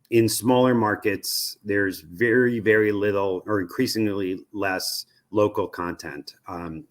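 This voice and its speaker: Low-pitched American man, measured interview guest. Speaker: interview guest, radio research executive. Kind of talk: conversational interview commentary.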